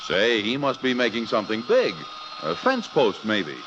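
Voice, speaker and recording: A man's voice: mid-range voice, huge pitch swings, normal speed, highs cut off, very noisy. A man announcing a mid-century radio comedy as a folksy, jocular scene-setter with chatty, playful delivery, on an old radio transcription.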